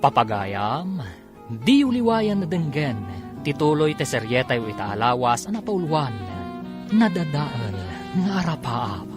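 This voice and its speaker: Animated male radio announcer over music. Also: fairly high pitch. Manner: theatrical, drawn-out title calls. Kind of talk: dramatic scripted narration. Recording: music behind the voice.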